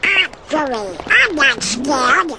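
A feisty cartoon duck from a video game, squawking in a garbled quack. The voice is high, piercing and very slow, over faint noise. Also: male voice, big pitch swings, bright, forceful tone, heavily acted. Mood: testy. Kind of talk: video game cutscene acting.

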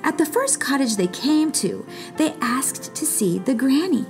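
A woman reading a children's story aloud expressively, with dramatic pauses and stretched words. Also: sits high, sing-song, music in the background. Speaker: storybook reader.

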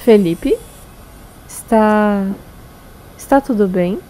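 A woman's voice: mid-range voice, drawn-out words, warm tone, a little hiss or hum, poor audio. A woman playing an anxious, caring young woman. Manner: hesitant, stammering, anxious. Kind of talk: audio drama acting.

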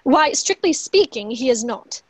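Young woman acting courteous secretary, high female voice, formal, efficient, dry sound, stage reading. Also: lively intonation, a little slow, husky, no echo, full character voice. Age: young adult. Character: polite, efficient secretary to a duke. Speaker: actor in a play reading. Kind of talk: theatrical play reading. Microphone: earphone headset on video call.

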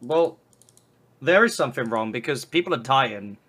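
A man's voice: medium pitch, animated, normal speed, fairly bright tone. A man chatting casually as an online game player, insistent and repeating his words for emphasis. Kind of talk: casual group game chat.

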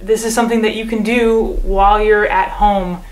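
A woman's voice: medium pitch, normal speed. Young woman teaching in a roomy room. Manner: calm, patient explaining. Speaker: percussion teacher. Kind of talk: instructional demonstration. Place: living room at home.

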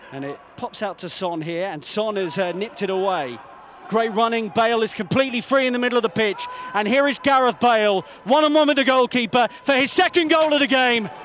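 High-pitched male football commentator, excited. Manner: excited, emphatic delivery. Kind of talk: live match commentary. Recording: broadcast over crowd roar and music. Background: stadium crowd, music.

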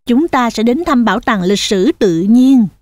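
A woman's voice: fairly high pitch, animated, moderate pace, darkish tone, soft background music, lightly acted. A woman voicing a patient, instructive mother goat in a children's cartoon dub. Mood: patient explaining.

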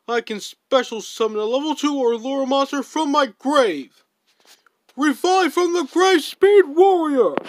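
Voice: medium pitch, dry recording. An amateur performer reading out every part in an anime fan dub. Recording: noisy home recording with clicks.

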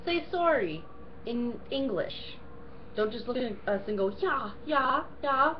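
A woman's voice: high-pitched, lively intonation, unhurried, dull audio, slight background noise. A young woman co-hosting a casual rant, cutting in with blunt, sarcastic one-liners. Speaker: co-host. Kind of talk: casual ranting chat.

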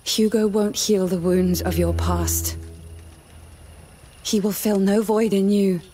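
Slow, grave, unyielding mother, acted by a woman. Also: mid-range voice, steady tone, lightly acted. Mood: grim warning. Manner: grave, deliberate. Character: grave, proud, unyielding mother facing doom. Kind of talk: video game cutscene acting. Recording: loud music behind the voice.

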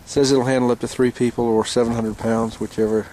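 A man with an American accent casually narrating his outing on a tidal creek, halting often with "uh", recorded outdoors on a handheld camera mic. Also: mid-range voice, slight background noise, rough recording. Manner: relaxed, halting, many "uh" fillers.

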